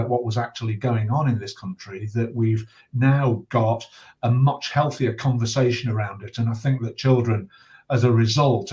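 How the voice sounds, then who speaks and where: A middle-aged or older British man speaking reflectively in conversation as a guest, earnest and measured, with hesitant pauses. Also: medium pitch, a little mellow. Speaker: interview guest, bereaved father and campaigner.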